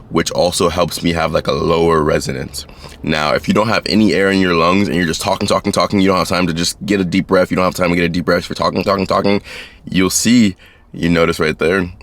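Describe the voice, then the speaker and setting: A man's voice: low voice, fairly bright tone. A young man giving casual advice straight to camera in a laid-back, chatty way, talking to his viewers as guys. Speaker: YouTube creator.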